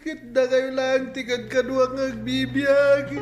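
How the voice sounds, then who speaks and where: A very high, slow-spoken schoolboy in a radio drama. He is an emotional, respectful orphan with a plaintive tone. Roomy echo surrounds the voice. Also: little pitch movement. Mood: frantic grief.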